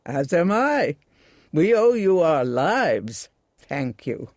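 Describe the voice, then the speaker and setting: An actor playing a frightened, grateful apothecary in a video game. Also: male voice, fairly high pitch, very animated, takes it slowly, no room sound, clean studio sound. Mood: grateful, worried.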